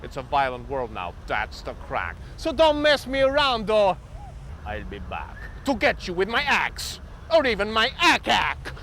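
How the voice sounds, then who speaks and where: A man's voice: high-pitched, huge pitch swings, unhurried, background noise, heavily acted. A man playing a brash, swaggering tough-guy rapper in a rhyming performance poem, boastful and theatrically menacing. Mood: swaggering threat. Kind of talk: rhyming performance poetry in rap cadence.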